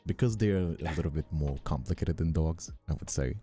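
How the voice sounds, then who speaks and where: A man in a casual livestream chat, explaining things haltingly and repeating words as he goes. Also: extremely low pitch, warm tone, soft background music. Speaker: VTuber streamer.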